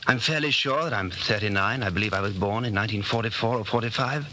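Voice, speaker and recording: A man's voice: fairly low pitch, fast talker, bright, forceful tone. An actor playing an anxious, secretly rebellious man of about forty in a 1940s radio drama, brooding and urgent. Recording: noisy 1940s radio broadcast recording.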